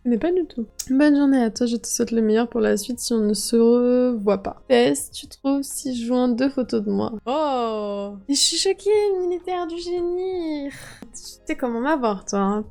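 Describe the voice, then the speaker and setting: A woman's voice: sits high, warm tone. A woman content creator reading messages aloud and reacting in a chatty, amused way, swearing casually now and then. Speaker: video creator.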